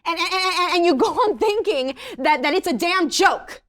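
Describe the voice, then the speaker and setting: Wounded, fiery woman in her fifties, acted by a female reader, accusing and dramatic, wide swings in pitch. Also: expressive, moderate pace, a bit bright. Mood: hurt, angry. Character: fierce, wounded early-fifties woman confronting her lover. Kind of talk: comedy script table read.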